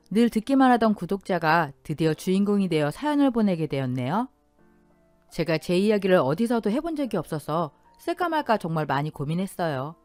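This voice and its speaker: A woman hosting and reading a first-person family story aloud in an expressive, confiding way. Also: medium pitch, no room sound. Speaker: host and storyteller.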